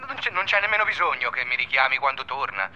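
A man's voice: medium pitch, very animated, quick pace, bright, forceful tone. An actor playing an anxiously polite, insistent male teacher on the telephone in a radio drama, through a phone filter. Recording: dull, band-limited telephone sound.

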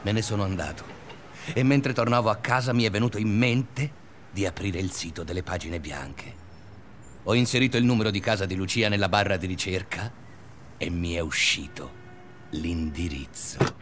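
An actor playing an obsessive, restless male schoolteacher in a confessional radio drama monologue, speaking deliberately in short phrases. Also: sits low, fairly bright tone, slight background noise. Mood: agitated. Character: obsessive, restless male schoolteacher narrating his sleepless days.

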